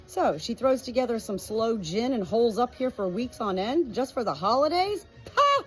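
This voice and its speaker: A woman's voice: on the high side, very animated, moderate pace, soft background music, voice pushed up, poor audio. A woman voicing an exasperated, blunt shepherdess defending herself in a storybook. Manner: exasperated, hissing when alarmed.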